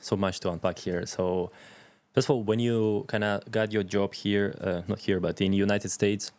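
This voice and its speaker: A man hosting a casual podcast interview in a fairly low voice. He is easygoing, laughs often and keeps his questions short. The recording is dry and close. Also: fairly even intonation, normal speed, darkish tone, no echo. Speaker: podcast host.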